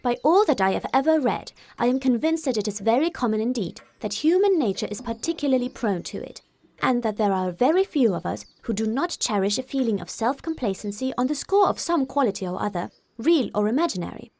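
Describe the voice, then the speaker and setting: Woman playing a self-important young woman, earnest, didactic, pompous, raised pitch, audiobook character. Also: expressive, fairly quick, warm tone. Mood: pompous, lecturing.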